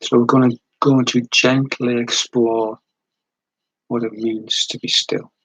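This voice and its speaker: A man leading a guided meditation in a gentle, soothing, unhurried way, with long pauses between short phrases and a breathy edge to the voice. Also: medium pitch, flat delivery, no room sound, low-quality recording.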